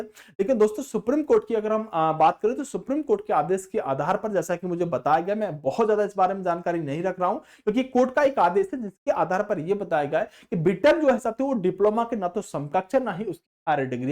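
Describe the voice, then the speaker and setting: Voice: mid-range voice, lively intonation, brisk, a little mellow. An exam news presenter giving an explanatory update to students, emphatic, often addressing listeners as friends and posing questions to answer.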